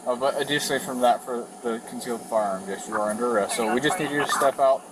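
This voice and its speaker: An American man speaks as a police officer in a procedural, explanatory way. His pace is quick and his pitch is average. The outdoor bodycam recording is heavily noisy. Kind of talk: explaining during a roadside police stop. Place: outdoors at a roadside traffic stop.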